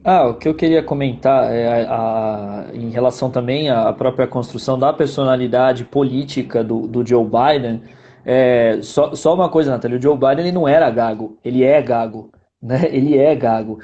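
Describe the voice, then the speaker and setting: A man's voice: mid-range voice, moderate pace, mellow, lo-fi sound. A man with a Brazilian accent giving explanatory political commentary in a didactic way, repeating points for emphasis and often adding tag questions.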